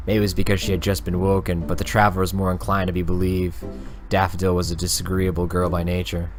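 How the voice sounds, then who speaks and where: A man's voice: sits low, monotone, quick pace, over music. Informal man hosting a visual novel read-along. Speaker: gaming channel host. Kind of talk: visual novel read-aloud and casual commentary.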